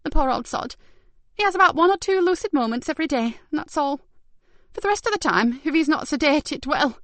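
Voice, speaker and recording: A woman voicing a frightened, defensive middle-aged female carer who snaps and pleads in a shrill voice. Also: moderate pace, gravelly, no room sound. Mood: rueful.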